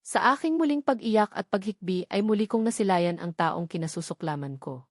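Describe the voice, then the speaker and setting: A woman's voice: mid-range voice, animated, normal speed, no echo, clean studio sound. A woman with a Filipino accent reading a first-person romance novel aloud as dramatic scripted narration. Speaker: audiobook narrator.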